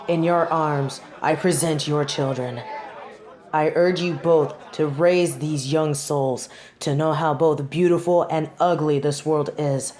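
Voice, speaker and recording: A woman's voice: sits low, a little mellow. A woman playing a haughty, sardonic young female judge in a dramatic audio roleplay, speaking in formal, imperious, theatrical phrases. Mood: solemn, formal. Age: young adult.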